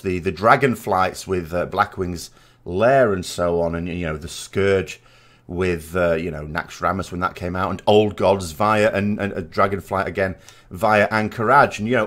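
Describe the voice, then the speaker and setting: A man's voice: low-pitched, fairly bright tone. A man hosting a gaming channel in a casual, conversational podcast style, rambling and digressive, with emphatic, opinionated delivery.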